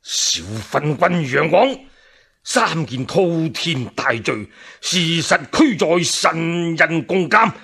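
Man playing an indignant old minister, swooping, husky voice. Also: a little high, lively intonation, fairly bright tone, full character voice. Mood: thundering, outraged. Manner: stammering with outrage, declamatory, drawn-out. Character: indignant old loyal minister, righteous and accusing. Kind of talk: one-man Cantonese radio storytelling drama.

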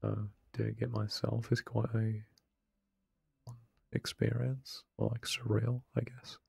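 A young man rambling softly in casual late-night commentary over a game he is playing. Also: fairly low pitch, little pitch movement, slow pace, creaky, breathy edge, no room sound. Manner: soft-spoken, rambling, self-deprecating. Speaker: let's play creator. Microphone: close desk microphone, no webcam.

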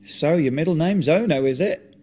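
A mouthy, scheming mate with a slightly high voice, put on by a male narrator. He teases and plots with brash confidence. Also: moderate pace, dark tone, lightly acted. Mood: teasing. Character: blunt, scheming male friend and helper.

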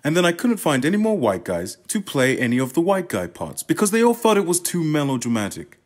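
An actor playing a wry, self-deprecating playwright in a radio play monologue, conversational, deadpan and hesitant. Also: male voice, a little high, fairly quick. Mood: wry, confiding. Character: wry, awkward, self-deprecating male playwright telling his story.

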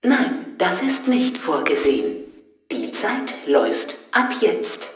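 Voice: medium pitch, sing-song, slow. An actor playing a polite, pedantic computer guide in an audio drama, formal, wordy and unflappable. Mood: matter-of-fact. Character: polite, relentlessly helpful, pedantic test-facility computer guide. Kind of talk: scripted audio drama acting. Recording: echoey, distant, music underneath.

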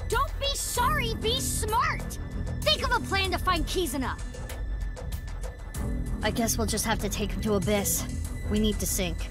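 A woman voicing a tough, blunt, sharp-tongued teenage girl in a video game. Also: high voice, measured pace, pauses often, over loud music. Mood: exasperated. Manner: blunt, demanding, snappy.

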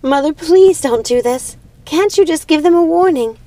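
A woman voicing a young witch's daughter, gentle but defiant, in an emotional, pleading manner for a storybook. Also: sits high, expressive, normal speed, voice pushed up. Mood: pleading, horrified.

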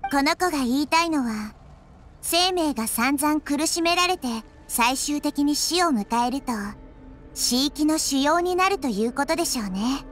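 A woman voicing a gentle, wise little girl nature deity in an anime game, speaking calmly and thoughtfully. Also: high-pitched, measured pace, faint music behind. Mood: calm, explaining. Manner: calm, thoughtful, softly explanatory. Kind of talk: Japanese anime game voice acting.

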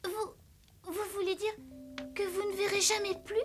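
A woman's voice: extremely high pitch, level tone, measured pace. A woman voicing an eager, innocent, kind-hearted little girl in a French cartoon dub. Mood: tender concern. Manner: bright-eyed, eager, innocent. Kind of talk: French animated series dub.

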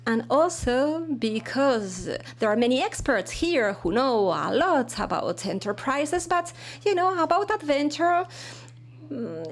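A woman giving a live spoken interpretation of a keynote talk, halting and full of fillers. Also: high voice. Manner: halting, with fillers and frequent restarts. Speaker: simultaneous interpreter.

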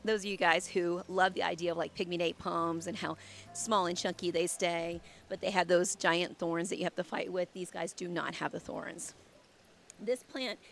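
A woman's voice: lowish voice, creaky, a bit bright. A woman in her thirties, a landscape designer, giving an enthusiastic, chatty walkthrough of her garden outdoors on a handheld mic while losing her voice.

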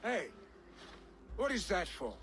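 An actor playing a restless, boisterous Viking chieftain's son in a video game. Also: male voice, high voice, sing-song, takes it slowly, noisy background, exaggerated voice. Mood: subdued. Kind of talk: video game cutscene acting.

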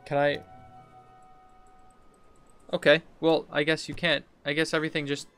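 A man in his thirties hosts a gaming video in a somewhat high voice. He talks casually and in slang, thinking aloud as he reacts to the game, with music playing lightly behind him. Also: little pitch movement, normal speed, a bit bright, clear, resonant tone. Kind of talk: casual let's play commentary. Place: indoor room, seated at a computer.

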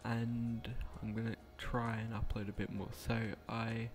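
A young man with a croaky voice, speaking quietly at night in a hesitant, rambling way with frequent restarts as he narrates a game build. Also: mid-range voice, completely flat delivery, measured pace, faint music behind. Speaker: gaming channel creator.

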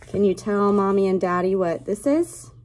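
A woman in her twenties, a teacher reading a picture book aloud to young children in a deliberate, child-directed way. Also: mid-range voice, darkish tone. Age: 20s. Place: small classroom, indoors.

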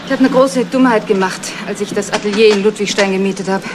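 A woman's voice: medium pitch, fast, close to an everyday voice. Female actor for flirty, candid, broke photographer, chatty mid-range delivery, vintage radio crime drama with noise. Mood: wry, confiding. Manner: chatty, wry, confiding. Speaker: actress. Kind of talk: 1970s German radio crime drama acting. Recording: noisy old 1970s radio play recording.

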